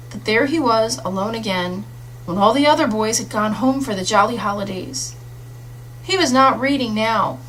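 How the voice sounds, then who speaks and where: A woman reading a literary story aloud as an audiobook narrator, in a scripted storytelling style. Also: a little high. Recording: faintly noisy audiobook recording.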